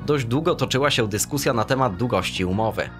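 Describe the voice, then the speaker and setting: A young man hosting a football news show, reading scripted reports in a brisk, even newsreader manner from a small room. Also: mid-range voice, music in the background. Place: small room with brick wall and shelves.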